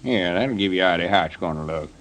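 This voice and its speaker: An actor playing a stubborn, scheming old rural storekeeper with a folksy Southern American drawl in a 1930s radio comedy. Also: male voice, low-pitched, animated, fast, a bit bright, a little hiss or hum. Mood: scheming, confident. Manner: folksy, drawling country talk. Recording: 1930s radio broadcast recording.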